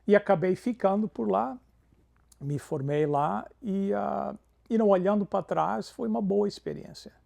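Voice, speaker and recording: Gravelly pastor, warm tone. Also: male voice, high-pitched, measured pace. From Canada. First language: English. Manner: peppers Portuguese with English fillers. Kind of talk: interview storytelling.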